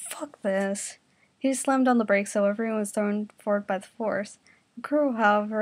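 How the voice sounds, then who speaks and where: A woman narrating a comedic story in an informal amateur style, now and then stumbling over words. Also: medium pitch. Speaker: reader narrating fan fiction.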